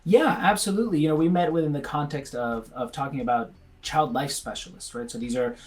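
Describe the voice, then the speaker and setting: A man's voice: on the high side, fairly quick. A man from Venezuela who is a pediatrician, answering interview questions earnestly and thinking aloud, often restarting his sentences. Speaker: pediatrician and guest.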